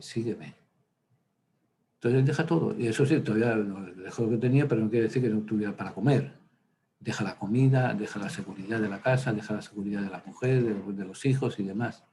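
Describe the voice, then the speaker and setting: A man's voice: fairly low pitch, a little mellow, a little hiss or hum. A man, a priest, teaching a Bible workshop in a chatty, digressive way, with many hesitant fillers and side stories. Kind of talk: informal Bible lecture.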